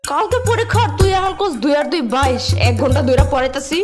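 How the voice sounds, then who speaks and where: A woman playing a bossy cartoon older sister with a high voice. She talks quickly and colloquially, scolding with exasperated sarcasm. Music runs behind her. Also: animated. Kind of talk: comedic cartoon dialogue.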